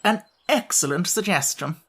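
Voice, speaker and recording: A woman's voice: medium pitch, sing-song, normal speed, voice pushed up. A woman voicing a pompous, overly polite male insect who fancies himself a scholar, speaking in elaborate phrases.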